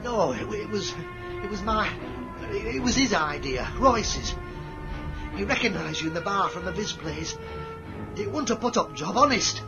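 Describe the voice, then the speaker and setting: An actor playing a rough, cowardly male street thug and bounty hunter with slangy speech in a science fiction audio drama. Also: high voice, big pitch swings, normal speed, highs cut off, over music. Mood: panicked pleading.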